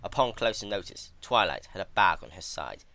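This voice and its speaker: A man giving a dramatic scripted narration of a dark fantasy story. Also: low-pitched, very animated, a little fast, gravelly.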